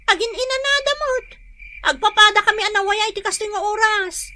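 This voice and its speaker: A woman acting an excitable, gossipy village housewife with an Ilocano accent in a comedy radio drama, exclamatory and quick to laugh. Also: very high-pitched, a little hiss or hum. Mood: chatty, defensive.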